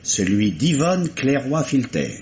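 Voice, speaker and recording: A man's voice: medium pitch, very animated, slow, a little mellow. An actor playing an eager, deferential police assistant who reports pointedly to his superior in an old radio mystery. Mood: eager, reporting. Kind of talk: 1950s French radio mystery acting. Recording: dull, noisy vintage radio recording.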